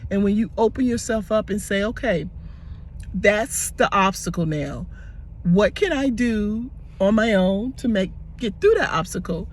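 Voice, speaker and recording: Hoarse, raspy older man, high voice. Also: slight background noise. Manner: rambling and earnest, many fillers and restarts. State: hoarse, recovering from tonsillitis. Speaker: gratitude vlogger. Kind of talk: casual spoken faith vlog.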